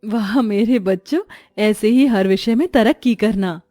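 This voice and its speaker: A woman playing a kind village mother and wife in a cartoon story, speaking in a gentle, earnest way. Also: mid-range voice, measured pace, no echo, clean studio sound, soft background music, natural voice. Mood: gentle, caring.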